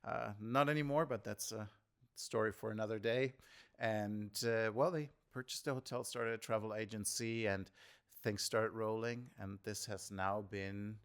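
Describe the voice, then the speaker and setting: German-born guy, lowish voice, measured answers, lots of uhs. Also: fairly even intonation, a bit bright, clean tone. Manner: measured, with frequent "uh" fillers. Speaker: tour guide, guest. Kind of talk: interview answers. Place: indoor shop or venue.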